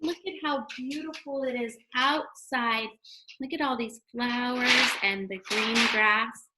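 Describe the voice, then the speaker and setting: Slow, higher-voiced woman teaching young children. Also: steady tone, heavy background noise. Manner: simple, child-directed talk with questions and sounded-out letters. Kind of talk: read-aloud lesson for young children.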